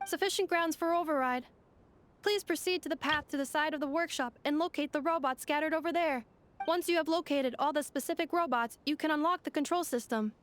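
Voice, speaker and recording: A woman voicing a calm, logical android helper woman who speaks in clipped, formal, machine-like reports in a video game. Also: high-pitched, fairly quick, natural voice. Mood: steady.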